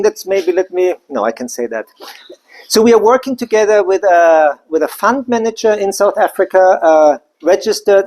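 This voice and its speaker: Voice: medium pitch, darkish tone. Business presentation, conversational, side remarks, live audience. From Germany. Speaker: company presenter.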